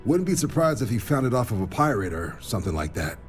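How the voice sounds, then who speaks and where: An actor playing an easygoing, wry military recruiter officer in a video game, talking in a casual, colloquial way. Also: male voice, mid-range voice, sing-song, fast, faint music behind, natural voice. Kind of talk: video game cutscene acting.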